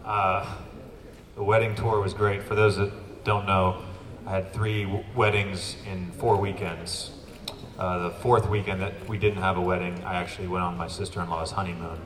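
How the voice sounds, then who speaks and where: A young man, a pro basketball player, answering press questions in a steady, measured way with a light joke now and then. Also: sits low. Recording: echoey room, distant mic, crowd.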